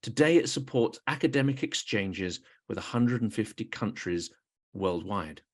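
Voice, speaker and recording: A man hosting a podcast, giving a formal introduction and asking interview questions in a measured way. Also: lowish voice, animated, husky. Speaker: podcast host.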